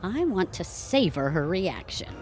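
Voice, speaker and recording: A woman acting a scheming, brassy publicist wife in a comedy audio play, with dramatic drawn-out emphasis. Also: lowish voice, sing-song, darkish tone. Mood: exasperated, scheming.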